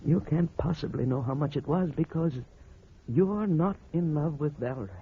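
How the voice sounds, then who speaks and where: An actor playing an aging blind husband, devoted yet superstitious and suspicious, in a theatrical, emphatic vintage radio drama style. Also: male voice, fairly high pitch, moderate pace, lightly acted. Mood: wistful, devoted. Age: older. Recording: muffled, noisy old radio recording.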